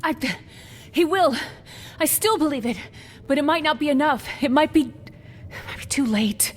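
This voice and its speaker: Woman acting a high, distraught doctor in an echoey hall. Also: normal speed, lightly acted. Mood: desperate. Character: distraught woman doctor warning townspeople, frantic and insistent. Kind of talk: dramatic video game voice acting. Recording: echoey hall with background noise.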